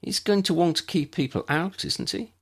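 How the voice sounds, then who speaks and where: A man doing a small-voiced plucky girl, raised pitch. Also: normal speed, breathy edge. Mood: anxious.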